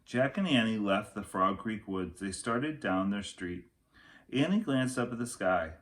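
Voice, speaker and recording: A man's voice: on the low side, expressive, measured pace, a bit bright. A man narrating a storybook for children in the manner of a teacher reading aloud.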